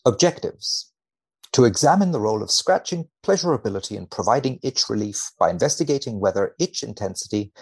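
Animated, gravelly low-voiced man. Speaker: guest, biomedical researcher. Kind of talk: podcast chat and reading aloud from a study.

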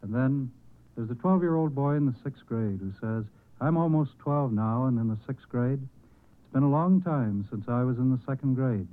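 A man's voice: mid-range voice, expressive, moderate pace. A man with an American accent, a professor guest, reading letters aloud on old-time radio in a formal, earnest manner. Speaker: professor and scholarship committee chairman, guest. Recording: muffled narrow-band vintage radio recording.

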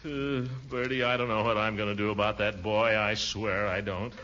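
An actor playing a pompous, soft-hearted middle-aged uncle who blusters in a 1940s radio comedy style. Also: male voice, fairly low pitch, steady tone, moderate pace. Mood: troubled. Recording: dull old radio recording with noise.

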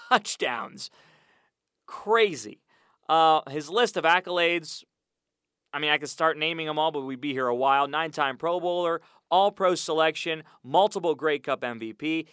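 A man's voice: a little high, pushed and bright, clean tone, no room sound, clean studio sound. A man hosting a sports podcast from a small audio booth, chatty and upbeat with rambling asides. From United States. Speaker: podcast host. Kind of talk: casual podcast intro and interview.

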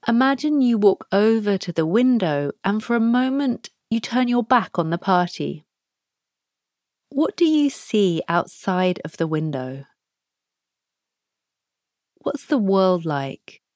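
A woman hosting a podcast, leading listeners through a guided meditation with soft prompts and long pauses. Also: mid-range voice, expressive, no echo, studio quality. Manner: slow, soft, pausing between prompts. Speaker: podcast host guiding a practice.

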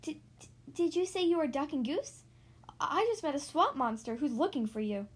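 A woman's voice: sits high, lively intonation, moderate pace. A woman voicing a friendly, polite talking daisy with a hesitant manner in a children's storybook.

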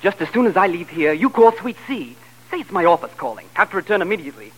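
High-strung, flustered husband in old radio comedy. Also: male voice, fast, husky, exaggerated voice, rough recording. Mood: scheming, pleading. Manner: stammering, excitable, pleading. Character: flustered husband caught between two wives. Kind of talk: 1940s radio comedy acting.

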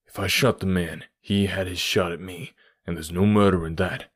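A proud villain from a detective storybook, acted by a male narrator, with a fairly low voice. He speaks with bitter, forceful defiance and a wounded lover's intensity. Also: steady tone, normal speed. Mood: grim defiance. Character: fierce, proud, lovelorn American male criminal.

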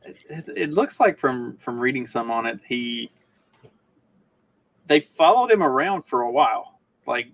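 Male co-host, mid-pitched with a creaky, gravelly edge, loose dry humour in casual chat. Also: lively intonation, unhurried, pauses often, no room sound. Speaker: podcast co-host.

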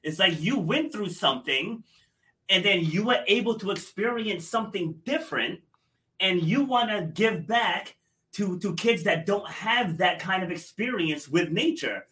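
A man's voice: high-pitched, expressive, unhurried, bright, forceful tone, rough recording. A man hosting a podcast panel, closing the talk with emphatic, repetitive points about sharing stories. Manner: emphatic, repeats phrases for effect. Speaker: podcast host. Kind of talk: panel discussion wrap-up.

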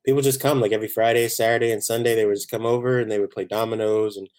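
A man from Barbados, chatting casually as a chef guest in a relaxed, rambling way. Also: fairly low pitch, very fast. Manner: relaxed, rambling, many "you know" fillers. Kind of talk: casual interview chat.

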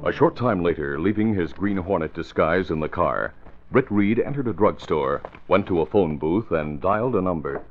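A man's voice: low-pitched, animated, moderate pace, over music. A man with an American accent doing scripted vintage radio announcing. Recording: dull vintage radio recording.

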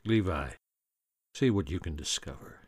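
A man doing a hushed, urgent conspirator. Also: sits low, lively intonation, measured pace, breathy edge, no room sound, clean studio sound, voice pushed down, heavily acted.

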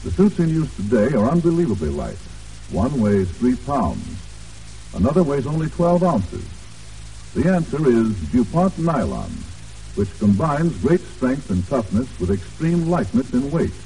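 Animated 1940s American radio announcer, male. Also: mid-range voice, slight background noise. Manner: formal, polished, deliberate emphasis. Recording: old 1940s radio broadcast, distant, music.

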